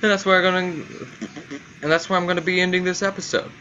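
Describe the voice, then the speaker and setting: A man's voice: high-pitched, level tone, fast, bright, forceful tone, lo-fi sound, a little hiss or hum. A man hosting a gaming video with casual, offhand commentary on what he is playing.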